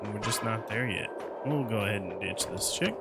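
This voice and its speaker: A man does casual live game commentary with playful, slangy reactions to what is on screen. Game music plays clearly behind him. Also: fairly low pitch, moderate pace. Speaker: game streamer.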